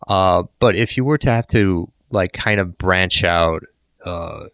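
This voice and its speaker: Man, podcast host, very deep voice, smooth, rambling casual questions, dry close mic. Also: measured pace, fairly bright tone, dry recording. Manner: rambling, filler-heavy questions. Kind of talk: casual interview chat.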